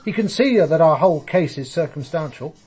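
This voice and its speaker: A frustrated police detective in a radio drama. His voice sits slightly high for a man and has a husky edge as he argues like a working policeman. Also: expressive, fairly quick, a little mellow. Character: frustrated male police detective debating a case.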